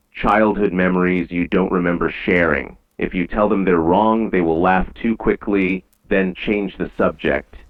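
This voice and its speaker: A man playing a grave, measured emergency announcer in an eerie analog horror broadcast, with dull, lo-fi old radio sound. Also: deep voice. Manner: measured, solemn, ominous.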